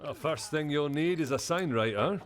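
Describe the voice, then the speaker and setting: An actor playing a cheeky, easygoing pub regular with a Scottish accent in a radio soap. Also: male voice, fairly high pitch, lively intonation, moderate pace, close to an everyday voice. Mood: helpful, sly. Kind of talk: radio soap opera acting.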